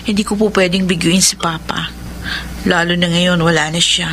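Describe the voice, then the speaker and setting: An actress playing a dutiful, composed young Filipina daughter in a radio drama. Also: female voice, on the low side, steady tone, moderate pace, a bit bright, slight background noise. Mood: apologetic, dutiful.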